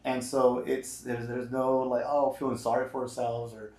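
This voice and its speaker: Lively male podcast host in an echoey room. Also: medium pitch, moderate pace. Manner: energetic, loose, lots of "man" and "right?". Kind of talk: casual interview chat.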